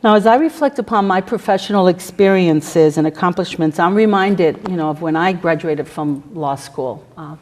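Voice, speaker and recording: Woman, middle-aged, native Spanish speaker, lowish voice, formal speech, measured, filler-heavy. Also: a little mellow. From New York City. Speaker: guest speaker, federal prosecutor. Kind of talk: prepared speech to a campus audience.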